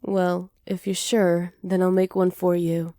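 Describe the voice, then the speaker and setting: Woman acting as a sly flirty witch, mellow and soft-spoken, teasing, hospitable, close dry sound, ASMR roleplay. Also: lowish voice, measured pace, dry recording. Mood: coy, bargaining. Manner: soft-spoken, teasing, flattering, partly whispered. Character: flirty, hospitable witch potion seller, sly and self-assured.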